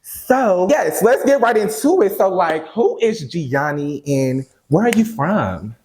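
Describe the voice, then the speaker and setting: A man hosting a podcast, playful and slangy in casual chat. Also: slow pace. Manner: playful, slangy, lively pitch swings. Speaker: podcast host.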